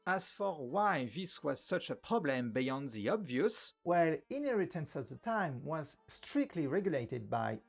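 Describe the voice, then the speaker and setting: A man's voice: fairly high pitch, very animated, unhurried, vocal fry, few pauses, faint music behind. A man from France with a French accent narrating a history video, with wry joking asides. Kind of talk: scripted narration.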